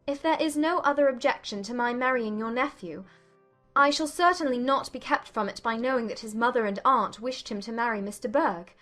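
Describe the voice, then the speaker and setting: A woman playing a poised, defiant young gentlewoman in a dramatized audiobook, composed, articulate and firm. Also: fairly high pitch, brisk, faint music behind, close to an everyday voice. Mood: cool, challenging. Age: young adult.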